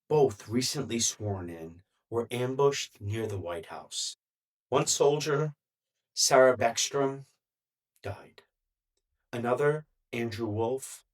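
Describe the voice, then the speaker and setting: A man giving solo opinion commentary in a measured, deliberate way, with emphatic pauses between phrases. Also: mid-range voice. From United States. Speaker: commentary vlogger.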